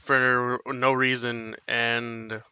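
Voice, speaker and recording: Bright-voiced rambling young gamer guy. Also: mid-range voice, level tone, extremely slow, clear, resonant tone, no room sound, lo-fi sound. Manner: rambling, apologetic, self-mocking storyteller. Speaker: gaming streamer. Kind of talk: casual let's play commentary.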